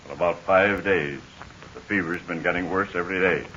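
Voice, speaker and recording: A man in 1940s radio acting, playing a weak, halting stranded pilot. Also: sits low, sharp tone. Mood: weary. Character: weak stranded adult male pilot, major. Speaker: Major Steele. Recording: dull hissy 1940 radio transcription.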